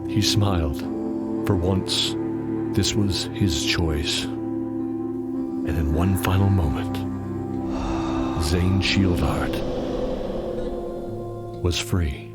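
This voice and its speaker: Deep guy narrating a fantasy audio drama, dramatic and deliberate, music behind. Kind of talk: dramatic scripted storytelling narration.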